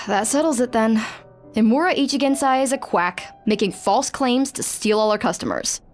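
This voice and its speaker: A woman's voice: fairly high pitch, moderate pace, faint music behind, natural voice. A woman voicing a dry, sardonic non-human assistant who files patient records, in a video game. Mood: wry.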